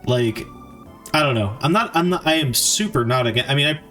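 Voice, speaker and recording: A man with a mid-pitched voice streams himself developing a game, with music behind him. He talks casually to viewers and thinks out loud in stops and starts. His manner is informal and self-deprecating. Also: animated, a little fast. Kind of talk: casual live-stream chat while coding.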